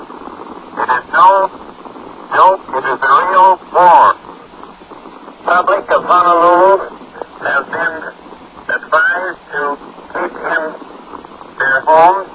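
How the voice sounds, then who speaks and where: A man giving a live radio eyewitness report from a rooftop, urgent and halting with pauses. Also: fairly high pitch. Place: rooftop outdoors. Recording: lo-fi 1940s radio broadcast with noise.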